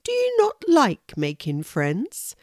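A woman voicing a kindly, polite male hedgehog in a children's story, speaking in a gentle, plain-spoken, concerned manner. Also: a little high, huge pitch swings, slow pace, no room sound, studio quality, pitched above the performer's own voice, exaggerated voice.

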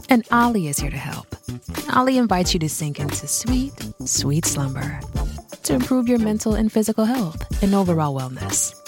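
Ad voice-over: woman, low and gravelly, calm and slow, music underneath. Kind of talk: soothing advertisement read.

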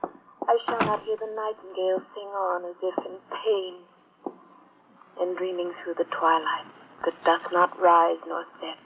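A woman's voice: fairly low pitch, flat delivery, measured pace. A woman playing a dreamy, mournful actress reciting poetry theatrically on a played-back phonograph record. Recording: muffled vintage record, faint noise.

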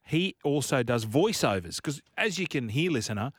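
A man's voice: medium pitch, very animated, moderate pace, no room sound, clean studio sound. An Australian man hosting casual sports radio banter in a jokey, teasing manner. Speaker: radio host. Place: radio studio.

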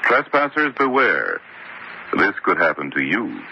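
A man with a deep, very bright and forceful voice announces radio horror. His delivery is formal and portentous, on a dull, noisy old radio recording. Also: wildly swooping pitch, unhurried, creaky. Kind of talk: dramatic scripted radio horror introduction.

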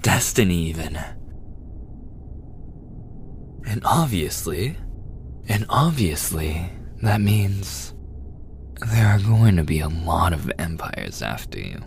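A man performs as an obsessive, hungry vampire in a boyfriend ASMR roleplay. The voice sits very low and moves slowly, breathy and often sinking into a gloating, intimate whisper. A faint layer of ambient noise lies behind it. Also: very animated, full character voice. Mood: fascinated. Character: obsessive, possessive male vampire, starved and hungry for blood.

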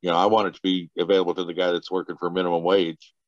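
A man's voice: deep voice, little pitch movement, very fast, no room sound, dull audio. A man from Texas, a guest on a podcast, talking casually and rambling with many restarts and laughs. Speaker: guest, party organizer.

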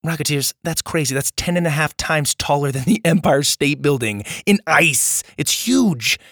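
A man's voice: fairly high pitch, animated, moderate pace, fairly bright tone, no echo, studio quality. A man hosting a children's podcast, chatting casually and enthusiastically to young listeners in a voice strained from nearly being lost. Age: adult, father of young children. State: strained voice, recovering from nearly losing it. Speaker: host and storyteller of a children's podcast.

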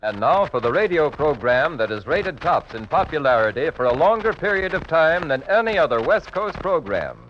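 A male announcer from vintage radio. He speaks in a fairly high, lively voice, punching key words with salesman's polish. The recording is muffled and old. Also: normal speed, hardly any pauses. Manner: polished, emphatic. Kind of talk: 1940s radio announcing and sponsor pitch.

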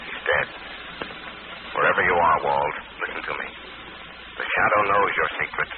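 An actor playing a mysterious, menacing unseen vigilante in an old-time radio mystery, speaking in a cryptic, deliberate way. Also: male voice, extremely low pitch. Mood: grim, menacing. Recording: noisy, muffled vintage radio recording.

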